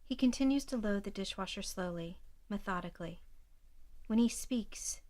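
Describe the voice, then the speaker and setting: A woman narrating an audio drama in the present tense, with a measured, neutral, scripted delivery. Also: mid-range voice, mellow.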